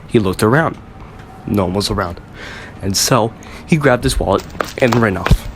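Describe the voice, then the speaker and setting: A man's voice: on the low side, normal speed, noisy background. A man narrating a scripted comic story for a homemade radio show.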